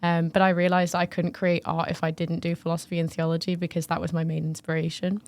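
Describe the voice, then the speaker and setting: A woman's voice: fairly low pitch, flat delivery, fast talker, a little mellow, no room sound. A young woman from northern England, a first-year student talking earnestly with many hedging fillers. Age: young adult. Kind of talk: casual chat.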